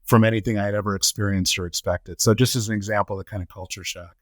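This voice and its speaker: A middle-aged man with a fairly low voice speaks in a measured, reflective way, pausing often on ums and uhs as he answers questions. The sound is close and dry, with no room around it. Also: steady tone, no room sound. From United States. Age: 40s to 50s. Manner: measured, many filler "uh"s and "um"s. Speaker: podcast guest, nonprofit CEO.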